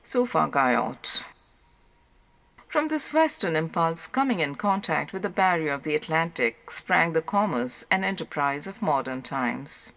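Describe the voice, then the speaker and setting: A woman reading a literary audiobook as narrator, in a measured, formal way. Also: low voice, sing-song, creaky, fairly bright tone.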